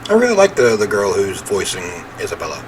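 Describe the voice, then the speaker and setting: A man's voice: lowish voice, huge pitch swings, fast talker, crowd noise, poor audio. A man hosting a gaming video, reading game text aloud and chatting with informal asides, with noise behind his voice. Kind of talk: casual let's-play commentary, reading game text aloud.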